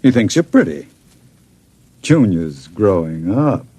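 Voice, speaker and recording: Scheming American radio-drama crime boss, deep-leaning dry voice, suave, controlled, coolly menacing. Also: male voice, very animated, slow, slightly rough, no echo, exaggerated voice. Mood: suave menace. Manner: suave, controlled, quietly threatening. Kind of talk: old-time American radio drama acting.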